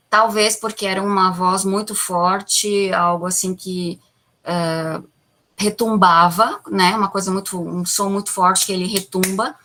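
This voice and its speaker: A woman's voice: mid-range voice, bright, forceful tone. A Brazilian woman teaching a classroom Bible lesson, explaining patiently, asking the class questions and answering them herself, tagging sentences with little checks. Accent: Brazilian Portuguese. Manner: peppers sentences with "tá?" and "né?".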